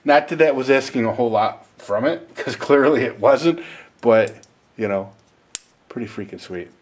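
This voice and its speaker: A man's voice: mid-range voice, normal speed, a little mellow. A man giving a casual, rambling, self-deprecating unboxing and review as the host of a video.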